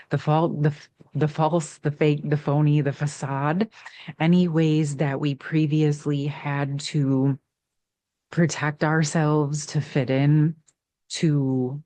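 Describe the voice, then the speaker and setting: A woman giving an intuitive card reading, musing softly about feminine and masculine energies, with her voice catching now and then. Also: low voice, no room sound. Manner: slow, soft, drawn-out spiritual musing. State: voice catching, clearing throat. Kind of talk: spiritual tarot reading.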